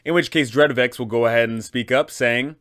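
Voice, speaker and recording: Male host for roleplaying explainer: smooth, clean voice, mid pitch, informal and emphatic game master narration. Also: fairly quick, fairly bright tone.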